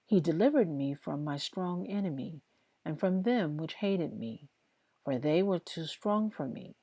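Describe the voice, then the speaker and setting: A woman reading Bible passages aloud in a devotional style, with deliberate, emphatic phrasing. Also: deep voice, animated, mellow, dry recording. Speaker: host reading scripture aloud. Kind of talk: slow devotional Bible reading aloud, with informal asides to listeners.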